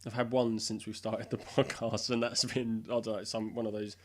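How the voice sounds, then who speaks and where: A man's voice: lowish voice, level tone, normal speed. A man co-hosting a podcast in casual chat, whose voice is still recovering, telling stories and acting out what people said. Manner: chatty storyteller, acts out dialogue. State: throat still recovering, occasional cough. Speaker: podcast co-host.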